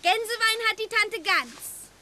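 A bossy, excitable little duck from a children's audio play. The voice is very high and the words come slowly and deliberately. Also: female voice, slight background noise, exaggerated voice. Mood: eager. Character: excitable musical duck, bossy party lover.

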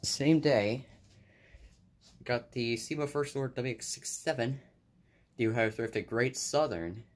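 A man's voice: medium pitch, a little slow. A young man narrating a casual show-and-tell of his collection indoors, offhand, with fillers and self-corrections, recorded on a handheld phone. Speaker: hobbyist vlogger. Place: indoors, room with carpeted floor.

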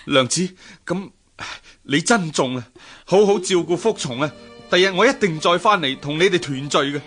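A man's voice: a little high, animated, moderate pace, a bit bright, soft background music. A man acting an earnest fugitive sea merchant in a radio drama, with a Hong Kong Cantonese accent. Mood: urgent, tender.

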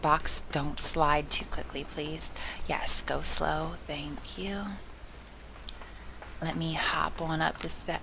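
A young woman with a sore, hoarse throat, giving casual, chatty and self-mocking commentary on a video game, slipping into soft whispery asides. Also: sits low, noisy background. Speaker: gameplay commentator. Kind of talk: casual let's-play commentary.

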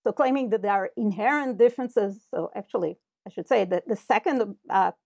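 A woman's voice: medium pitch, a little fast, creaky, darkish tone, no room sound, clean studio sound. A middle-aged woman, a Hebrew speaker, explaining her research thoughtfully over a video call with earbud headphones. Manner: thoughtful, explanatory, many fillers. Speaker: cognitive scientist guest. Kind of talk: interview conversation. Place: home office with bookshelves. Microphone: earbud headset over video call.

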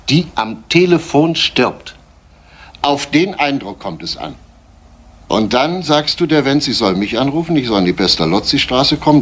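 A man's voice: mid-range voice, fairly bright tone, slight background noise. An actor playing a scheming man who gives urgent, commanding instructions to an accomplice in a retro German radio crime play.

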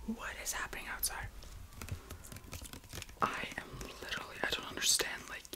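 A woman of about twenty whispering casual, excitable ASMR chat as a video host, often repeating words softly. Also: moderate pace. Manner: whispers almost throughout.

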